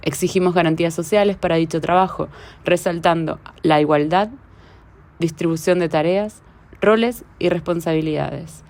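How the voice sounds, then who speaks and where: Woman co-host, low and gravelly, reads a measured statement with conviction. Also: darkish tone. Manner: earnest, measured, declarative. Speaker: podcast co-host. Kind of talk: read opening statement.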